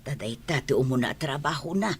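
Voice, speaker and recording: A woman acting an ailing, affectionate elderly mother with a husky voice in a radio comedy drama. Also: rumbling low, expressive, brisk, a little hiss or hum. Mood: chatty, proud.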